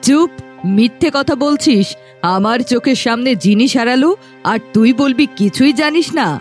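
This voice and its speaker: A woman's voice: high-pitched, normal speed, soft background music, lightly acted. A woman voicing a cruel middle-aged stepmother in a fairy-tale cartoon, harsh, accusing and commanding as she scolds.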